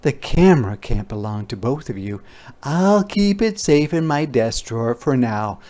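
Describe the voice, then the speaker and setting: A man voicing a calm, fair-minded woman schoolteacher in a storybook. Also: mid-range voice, unhurried.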